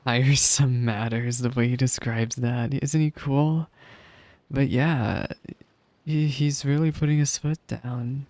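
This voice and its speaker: A man's voice: mid-range voice, steady tone, takes it slowly, a little mellow, no echo. A man giving casual reaction commentary as he streams a video game.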